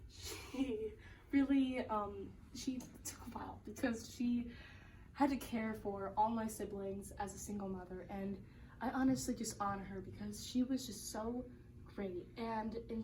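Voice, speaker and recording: A woman playing a devout religious sister from eighteenth-century France as an interview guest, speaking earnestly and a little haltingly in an amateur role-play. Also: medium pitch, darkish tone, close to an everyday voice. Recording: roomy, distant mic with background noise.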